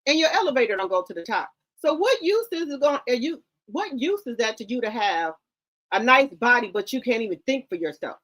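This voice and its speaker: Woman, middle-aged, high-pitched, blunt, lecturing, slangy host chat. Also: sing-song, a little fast, a bit bright. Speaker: commentary host. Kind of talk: casual livestream commentary.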